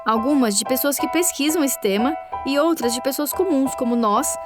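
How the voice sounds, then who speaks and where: A woman in her twenties, a podcast host, delivering measured scripted narration. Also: sits high. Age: 20s. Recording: music bed under voice.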